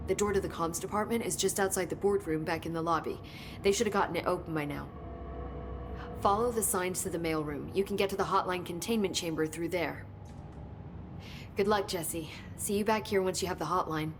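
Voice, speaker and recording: A woman's voice: fairly low pitch, fairly quick, background noise, natural voice. A woman playing an eager, brainy government researcher of the paranormal in a video game, explaining things in a thoughtful, informal way. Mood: encouraging. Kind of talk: naturalistic video game dialogue.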